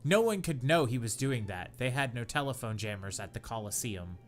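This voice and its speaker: A man co-hosting a comedy podcast, reading bad fanfiction aloud in a deadpan voice that keeps cracking into laughter. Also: mid-range voice, fairly quick, soft background music. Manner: reads deadpan, breaks into laughter.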